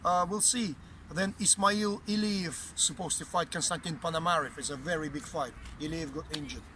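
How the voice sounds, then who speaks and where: A Russian man speaking English with a Russian accent, a boxing promoter being interviewed outdoors, blunt and animated, often repeating himself. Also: fairly high pitch, normal speed. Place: outdoors, windy. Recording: outdoor wind noise.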